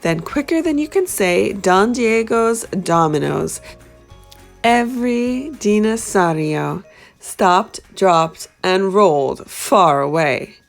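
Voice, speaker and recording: A woman reading a children's picture book aloud in an animated, expressive way. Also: medium pitch, slow pace, gravelly, faint music behind. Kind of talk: storybook read-aloud.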